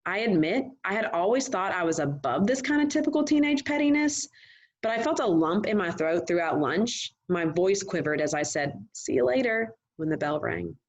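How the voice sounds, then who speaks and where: A woman's voice: on the low side, big pitch swings, moderate pace. A woman reading a novel aloud in a casual, conversational way, talking directly to her listeners. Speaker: host reading a book aloud. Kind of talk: read-aloud of a first-person novel, conversational.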